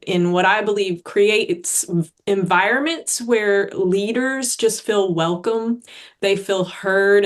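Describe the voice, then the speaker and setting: A woman hosts a training session with an effusive, appreciative way of talking. Her voice sits at a middling pitch as she thanks and introduces speakers.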